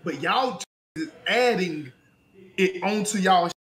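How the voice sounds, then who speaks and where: A man's voice: sits high, expressive, slow pace, echoey room, noisy background. A man in his thirties teasing friends and laughing in casual stream chat. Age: 30s.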